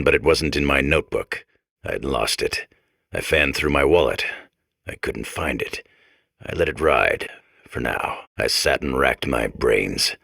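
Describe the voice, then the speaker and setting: Hard-boiled detective robot, bottomless low pitch, forceful edge, gravelly, clipped, world-weary, dry close sound. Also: male voice, no room sound, heavily acted. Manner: deadpan clipped noir narration. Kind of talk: parody of 1940s noir detective narration.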